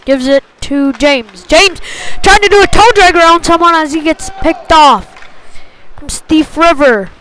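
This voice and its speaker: A woman with a high voice gives live hockey play-by-play. She speaks at a slow pace, in short, clipped phrases that track the play as it moves. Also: a bit bright, slight background noise. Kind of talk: live sports commentary.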